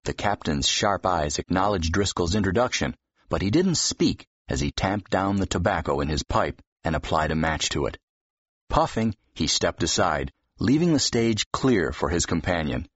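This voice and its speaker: Deep, husky male audiobook narrator. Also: expressive, moderate pace, dry recording. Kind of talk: expressive scripted fiction narration. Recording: dull, slightly muffled audio.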